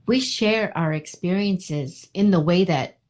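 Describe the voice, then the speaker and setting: A woman giving a reflective interview, speaking earnestly and deliberately, often repeating her phrasing for weight. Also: fairly low pitch, darkish tone. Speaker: poetry group co-founder being interviewed.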